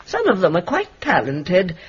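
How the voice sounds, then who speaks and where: A woman's voice: on the low side, huge pitch swings, a little slow, breathy edge, exaggerated voice. A woman playing an imperious, eccentric old spinster in a radio drama, speaking in a grand, precise, commanding way. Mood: gracious, grand. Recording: dull lo-fi radio drama recording.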